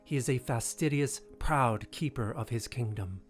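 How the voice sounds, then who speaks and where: A man's voice: mid-range voice, normal speed, over music. A man narrating an audio drama in a scripted, dramatic storytelling style.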